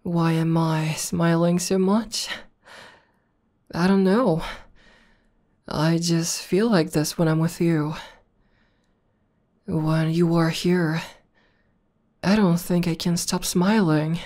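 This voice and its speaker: A woman playing a tough but devoted tomboy war demon girlfriend in an intimate ASMR roleplay, speaking softly, tenderly and haltingly. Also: on the low side, fairly even intonation. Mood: tender, affectionate. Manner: soft, halting, tender delivery.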